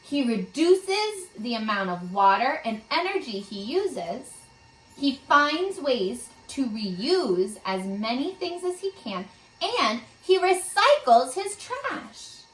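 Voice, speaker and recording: Slow, high-voiced woman reading to children. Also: reverberant, faint music behind, poor audio. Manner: expressive, asks the young listeners questions. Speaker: read-aloud teacher. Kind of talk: children's story read-aloud.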